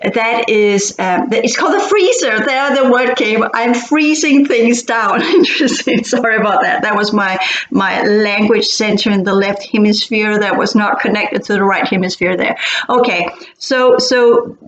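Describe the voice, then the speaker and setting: A woman's voice: medium pitch, moderate pace. Hesitant Danish-born woman, echoey room. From Denmark. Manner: hesitant, searching for words with many fillers and restarts. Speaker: host. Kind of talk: casual explanatory talk. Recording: echoey, dull-sounding room recording.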